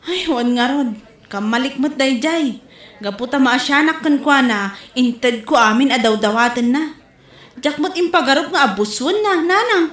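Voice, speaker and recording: Woman acting indignant high-voiced younger sister, Ilocano accent. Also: moderate pace, a bit bright. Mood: hurt, defensive. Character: younger sister, fed-up working mother standing her ground. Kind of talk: Ilocano comedy-drama acting. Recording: roomy echo.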